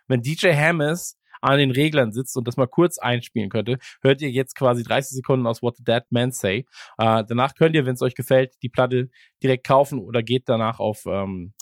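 A man hosts in a loose, conversational way, with a mid-pitched voice. He rambles from thought to thought and slips in ironic jokes. The sound is dry, with very little room in it. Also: fairly quick, no room sound. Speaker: podcast host. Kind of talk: casual chat.

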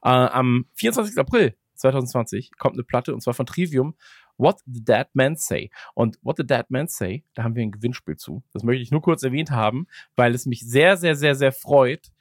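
A man's voice: mid-range voice, animated, moderate pace, no echo. Rambling podcast man, dry sound. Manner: rambling, many fillers, laughs while talking. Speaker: podcast co-host. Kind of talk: casual podcast chat.

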